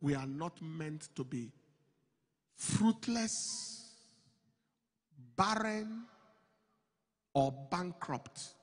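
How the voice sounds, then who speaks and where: Man, pastor preaching, high voice, slow deliberate pace, handheld mic, big reverberant hall. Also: lively intonation. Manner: builds from slow, measured delivery to loud, emphatic preaching. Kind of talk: sermon. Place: large church auditorium stage with a crowd. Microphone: handheld microphone. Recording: long hall reverb, slightly breathy.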